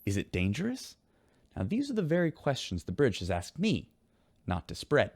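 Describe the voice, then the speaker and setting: An animated, wry space radio DJ. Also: male voice, mid-range voice, normal speed, breathy edge, dry recording, clean studio sound, exaggerated voice. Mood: playfully gossipy. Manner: wry, teasing, showy. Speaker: radio host. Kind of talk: sci-fi radio drama presenting.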